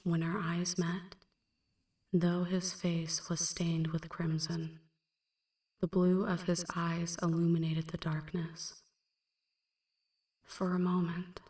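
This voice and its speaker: A woman playing a melancholy female vampire in an ASMR roleplay, recounting a dark past in a hushed, breathy, measured half-whisper. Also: on the low side, echoey room, distant mic. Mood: anguished, remorseful. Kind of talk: ASMR roleplay, slow dramatic first-person narration.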